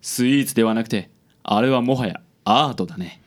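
Man acting suave, pompous gentleman. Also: mid-range voice, fairly quick, natural voice. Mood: smug, admiring. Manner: affected, florid, sprinkles English words. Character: suave, pompous handsome gentleman at detective agency, theatrical, gallant. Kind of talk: radio drama acting.

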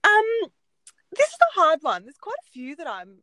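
A woman's voice: extremely high pitch, normal speed, dry recording, low-quality recording. A woman in her thirties with an Australian accent, chatting casually and telling stories with lots of ums. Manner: chatty, animated storytelling with frequent ums. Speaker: guest. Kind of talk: casual chat.

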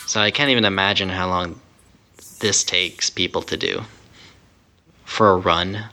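A man's voice: low-pitched, steady tone, measured pace, bright, forceful tone, a little hiss or hum. A man chatting casually as a podcast co-host, giving his impressions in a review. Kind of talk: casual anime review chat.

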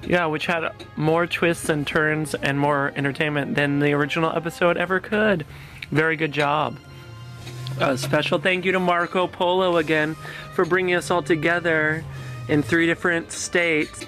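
A man's voice: on the high side, fairly even intonation, moderate pace, fairly bright tone, soft background music. A man hosting and narrating a podcast in a theatrical storytelling style.

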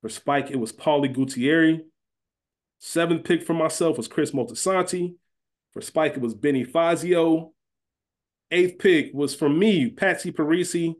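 A man's voice: a little high, unhurried. A man chatting casually and emphatically as a podcast co-host, conversational in his delivery. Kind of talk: casual chat and recap.

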